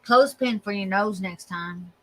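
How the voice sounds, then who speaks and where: A woman co-hosts a live call-in podcast, her voice bright and clear. She jokes and teases the caller with a playful ease. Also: mid-range voice, moderate pace. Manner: teasing, playful. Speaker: podcast co-host. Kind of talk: casual podcast chat.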